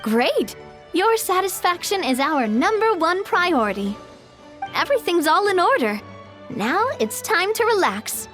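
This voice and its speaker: A woman's voice: very high-pitched, sing-song, a little slow, fairly bright tone, heavily acted. A woman voicing a flamboyant, playful and grandiose showrunner in an anime game, speaking with theatrical flourishes over music. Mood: upbeat. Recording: music behind the voice.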